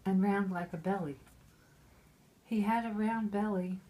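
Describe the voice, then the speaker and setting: A middle-aged woman, clearly drunk, reads aloud in a casual home setting. Her pace is very slow and halting, her pitch ordinary for a woman. She stumbles, repeats phrases and drifts into chatty asides. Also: steady tone, warm tone, rough recording. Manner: muddled, repeating and stumbling over words. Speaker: mother reading a Christmas poem aloud.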